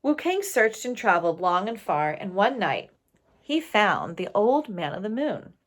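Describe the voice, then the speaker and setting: A woman reads a story aloud to listeners. Her delivery is slow and expressive, with pitch that moves around a great deal. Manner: pauses to question listeners. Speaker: storybook reader.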